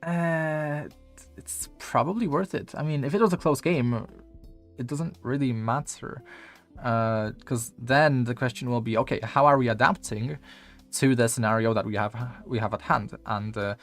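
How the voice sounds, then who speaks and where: A man with a Polish accent talks loosely through a gameplay review, his speech scattered with "uh" and "you know" and the occasional curse. His voice sits at an ordinary middle pitch, with faint music playing quietly in the background. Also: moderate pace. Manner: rambling, filler-heavy, casual swearing. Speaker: game coach. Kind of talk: casual gameplay video review.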